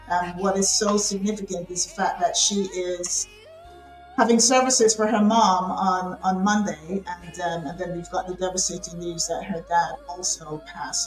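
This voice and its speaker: A woman, a show host from the UK, talking casually and live with plenty of ums and repeated phrases. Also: lowish voice. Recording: roomy echo.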